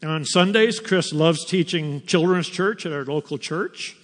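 An older man, a missionary teacher, giving a slow, unhurried personal testimony to a church. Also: on the high side. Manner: slow, unhurried storytelling with pauses. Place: church sanctuary. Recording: long reverb of a large hall.

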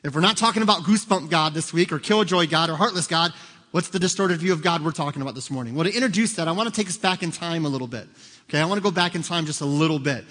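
A man's voice: a little high, rapid-fire, a bit bright. A man in his thirties preaching a conversational sermon, joking and quizzing the congregation in a church auditorium. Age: 30s. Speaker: pastor. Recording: long hall reverb.

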